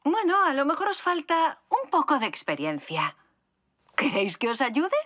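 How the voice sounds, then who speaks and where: A woman voicing a patient, gentle, encouraging zebra mother in a children's cartoon dub. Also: high voice, huge pitch swings, normal speed, full character voice.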